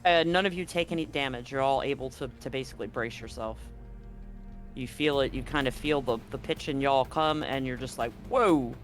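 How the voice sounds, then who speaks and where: A man's voice: medium pitch, normal speed. A man working as game master, narrating a tabletop roleplaying game in a conversational, explanatory way. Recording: music playing under the voice. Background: background music.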